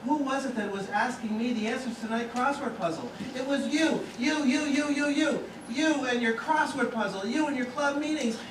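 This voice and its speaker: A man's voice: very high voice, normal speed, few pauses. Exasperated stage husband, played by a man: testy, emphatic, declamatory comedy delivery, distant noisy theater sound. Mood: sarcastic, angry. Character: irritable exasperated husband trying to read his paper. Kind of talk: live stage comedy acting. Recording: echoey theater from far off, noisy.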